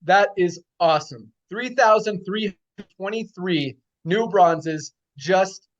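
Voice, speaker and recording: Enthusiastic high-voiced man hosting. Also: slow pace, lo-fi sound. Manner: enthusiastic, repeats numbers for emphasis. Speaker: host of company call. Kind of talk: upbeat company update on a video call.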